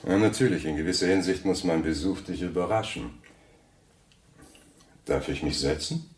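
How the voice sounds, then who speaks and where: An actor playing a suave, wealthy banker who talks smoothly and with polite condescension in a radio play. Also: male voice, low-pitched, moderate pace, lightly acted. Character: suave, wealthy banker, coolly probing his rival. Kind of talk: German radio drama dialogue.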